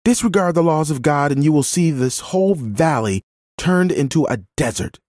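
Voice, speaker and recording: A man's voice: lowish voice, expressive, unhurried, dark tone, no echo, clean studio sound. A man voicing a firm, compassionate, pious woman who speaks earnestly in a dramatised story. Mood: firm, urgent. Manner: earnest, righteous, exhorting.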